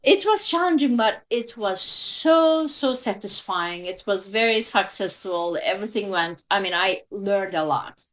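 A woman's voice: mid-range voice, lively intonation, unhurried, hardly any pauses, a bit bright. A woman in her fifties from Turkey with a Turkish accent, a guest in an interview telling her career story reflectively, with frequent small laughs.

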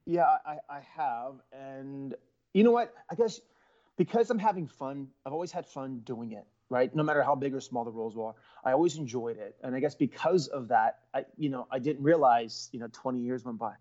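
A man's voice: medium pitch, a little fast, darkish tone. Chatty man on a dull remote line. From Central California. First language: Portuguese. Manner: chatty, rambling with many ums and restarts. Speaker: actor guest. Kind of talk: casual interview over a call.

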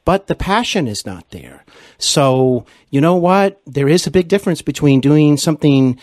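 Man, interview host, casual chat, effusive, folksy, deliberate halting phrasing, dry room. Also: a little high, lively intonation, a little mellow, no room sound. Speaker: podcast host interviewing a guest.

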